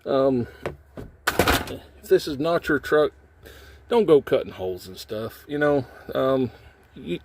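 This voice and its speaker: Male DIY host, folksy and informal, mid-pitched, explaining hands-on work step by step as he goes. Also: animated, takes it slowly, long pauses, darkish tone, a little hiss or hum. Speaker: how-to video host, trucker. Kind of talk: casual hands-on tutorial, talking while working. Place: in and around a truck cab.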